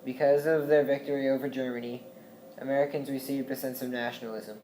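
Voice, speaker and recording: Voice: mid-range voice, fairly even intonation, fast talker, warm tone. Young student reading a report in a roomy room. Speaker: student presenter. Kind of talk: reading a school project narration. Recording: roomy classroom with faint background noise.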